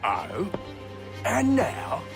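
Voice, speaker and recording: An actor playing a taunting, belligerent ruffian in a game cutscene. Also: male voice, high-pitched, huge pitch swings, drawn-out words, vocal fry, music in the background, exaggerated voice. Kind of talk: video game cutscene acting.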